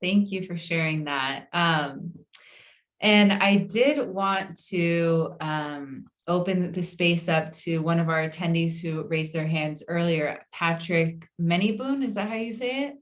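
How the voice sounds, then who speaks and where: A woman hosting the event, speaking slowly with a lowish voice. She thanks speakers and passes the floor to attendees. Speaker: event host.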